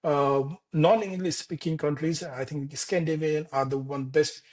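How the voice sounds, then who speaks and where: A man in his thirties from Nepal with a Nepali accent, speaking as an interview guest in a casual, halting way with many restarts and fillers. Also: on the high side, fairly even intonation, darkish tone. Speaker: architect guest. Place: Denmark.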